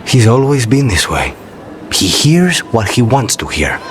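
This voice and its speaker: A man's voice: mid-range voice, big pitch swings, unhurried, a little hiss or hum. A man voicing a brooding, courteous assassin with a dry, guarded manner in a video game cutscene. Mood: soft, reflective.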